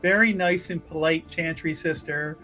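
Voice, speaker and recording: A man playing a tabletop roleplaying game, casually improvising in a wordy, hesitant way with careful pauses. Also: a little high, steady tone, sharp tone, soft background music, poor audio. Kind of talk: casual improvised in-character recap and questions.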